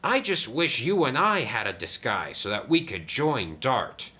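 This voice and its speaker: A man's voice: mid-range voice, big pitch swings, measured pace, bright, forceful tone, pitched above the performer's own voice. A man voicing a smug, chummy male diesel engine crony in a children's story. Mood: admiring.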